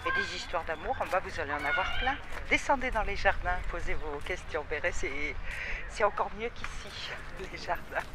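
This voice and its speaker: A man's voice: high-pitched, expressive, moderate pace. A man urging people to go somewhere, giving directions. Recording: distant voice, loud street noise.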